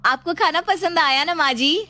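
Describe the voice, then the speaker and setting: Woman as a high-voiced young daughter-in-law. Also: moderate pace, sharp tone. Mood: polite, pleading. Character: put-upon young daughter-in-law, polite yet scheming. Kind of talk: acted moral storytelling.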